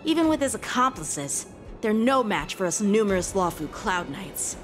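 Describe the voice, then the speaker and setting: A woman's voice: mid-range voice, sing-song, normal speed, faint music behind, close to an everyday voice. A woman voicing a bold young knight boy. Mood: earnest. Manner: earnest, incredulous questions. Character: eager young male knight, bold and quick to question. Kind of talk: game cutscene voice acting.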